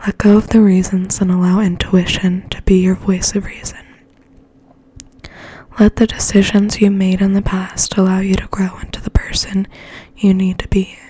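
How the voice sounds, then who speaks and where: Whispering woman reading softly, flat and hushed. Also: mid-range voice. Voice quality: breathy, mostly unvoiced whisper. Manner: slow, gentle, hushed. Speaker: ASMR host and poetry reader. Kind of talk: whispered ASMR poetry reading with soft chat.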